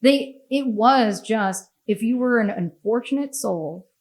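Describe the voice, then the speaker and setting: A woman's voice: fairly high pitch, unhurried, a little mellow. A woman with an American accent hosting a podcast, talking in a casual, opinionated way, blunt and sweary, laughing often. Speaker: podcast host.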